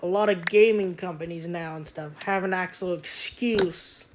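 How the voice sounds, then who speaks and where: Young adult woman streamer, childish-sounding voice, slightly low, casual rambling, noisy home setup. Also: steady tone, moderate pace. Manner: rambling, lots of fillers, frequent throat clearing. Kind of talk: casual gaming stream chat.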